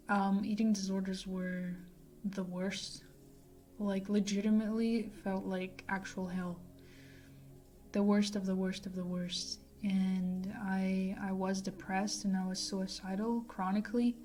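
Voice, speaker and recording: A woman in her twenties giving a personal talk to camera in a halting, reflective way, often pausing mid-sentence. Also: mid-range voice, a little mellow. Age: 20s. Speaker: vlogger sharing a personal testimony.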